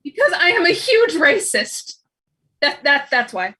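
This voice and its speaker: A woman's voice: sits high, very animated, a little slow, sharp tone, heavily acted. A woman acting a nervous, guilt-ridden, awkward shop worker in a comedy table read, stammering and flustered. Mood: flustered confession.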